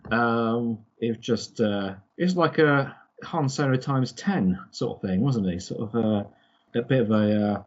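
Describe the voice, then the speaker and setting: Husky, halting man in casual podcast chat. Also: medium pitch, darkish tone, muffled recording. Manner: halting, repeating words as he goes. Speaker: podcast co-host.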